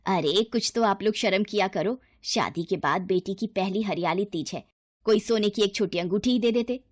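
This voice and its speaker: A woman's voice: mid-range voice, husky, no room sound. A woman voicing a middle-aged mother-in-law in a children's moral story, haughty and sarcastic in manner. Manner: sarcastic, scolding.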